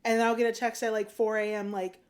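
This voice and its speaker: A woman's voice: on the high side, level tone, fairly quick, a little mellow. A woman in her thirties, a guest on a podcast, talking in an easygoing, reflective way and laughing often. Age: 30s. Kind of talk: casual chat.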